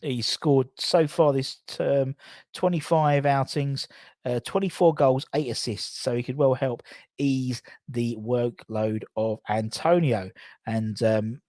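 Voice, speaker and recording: A man's voice: mid-range voice, steady tone, slow, darkish tone, no echo, clean studio sound. A young man hosting a fan channel, chatting casually and rambling with asides. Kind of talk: casual live-stream chat.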